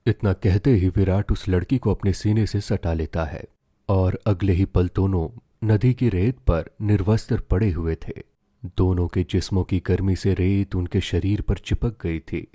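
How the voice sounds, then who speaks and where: A man's voice: low voice, steady tone, measured pace, warm tone, no echo, clean studio sound. A man narrating a scripted story as a dramatic storyteller.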